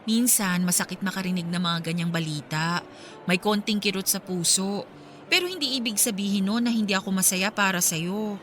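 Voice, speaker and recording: Actress as a bright-voiced, supportive woman best friend. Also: medium pitch, brisk, slight background noise, lightly acted. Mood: sincere, bittersweet. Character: supportive, candid young wife longing for a child. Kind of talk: Filipino radio drama dialogue.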